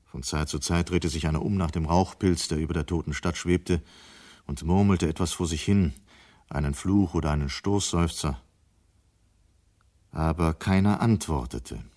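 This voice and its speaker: A man narrating a 1970s German radio play in a grave, deliberate, dramatic manner. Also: low-pitched, a little mellow. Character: grave male storyteller of a city's destruction.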